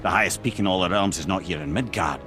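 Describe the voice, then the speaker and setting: An actor playing a wise, witty and boastful captive sage in a video game, speaking in a wry, theatrical way. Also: male voice, low voice, fast, bright, forceful tone, faint music behind. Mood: wry, explaining. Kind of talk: dramatic game acting.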